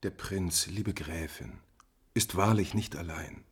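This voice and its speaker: A man playing a smooth, evasive courtier in 1950s German radio drama, with a formal, deferential way of speaking. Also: sits low, takes it slowly, exaggerated voice. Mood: guarded politeness. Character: smooth, guarded male courtier, evasive and servile to his prince.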